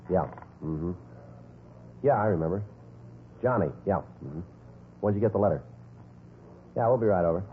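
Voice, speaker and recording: An American man playing a terse, weary police detective sergeant in a deadpan, clipped 1950s radio crime drama style. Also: low voice, close to an everyday voice. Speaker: narrator and lead actor. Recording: noisy, phone-like old radio recording.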